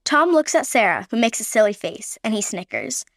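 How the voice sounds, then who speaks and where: A woman narrating a radio play with even, descriptive storytelling. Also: fairly high pitch, moderate pace, creaky, no echo, studio quality.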